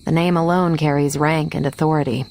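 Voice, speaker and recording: Worldly game heroine, very low female voice, frank, dry, faint noise. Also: fairly even intonation, fairly quick, a little mellow. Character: frank, worldly female hero, dryly approving. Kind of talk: video game cutscene acting.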